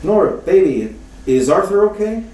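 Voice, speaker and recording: A man's voice: high-pitched, sing-song, normal speed, warm tone, distant mic. A man playing a suave, wisecracking male detective in a retro radio drama, with a dry, breezy delivery. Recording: echoey room, off mic.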